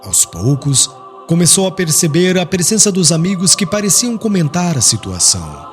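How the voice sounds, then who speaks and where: A man reading a religious story in a solemn, expressive literary narration. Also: fairly high pitch, moderate pace, soft background music. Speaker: audiobook narrator.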